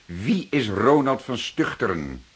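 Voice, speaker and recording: An actor playing a composed, probing police detective in a Dutch radio play, speaking formally and deliberately with courteous insistence. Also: male voice, mid-range voice, sing-song, husky, exaggerated voice. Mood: firm.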